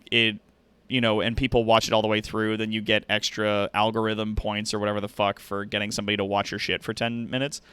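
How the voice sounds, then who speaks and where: A man's voice: medium pitch, a bit bright, clear, resonant tone, no echo. A man hosting a livestream, chatting casually and directly to viewers with slangy, profane language. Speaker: livestream host and content creator. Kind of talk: casual stream chat.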